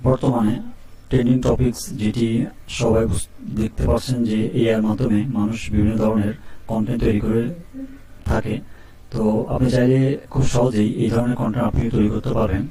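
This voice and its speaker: A man hosting a step-by-step software tutorial. He keeps checking in with the viewer as he explains each click. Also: on the low side, fairly quick, reverberant, far from the mic, rough recording. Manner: steady instructional, frequent "ঠিক আছে?" check-ins. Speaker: tutorial host. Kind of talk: screen-recorded software tutorial.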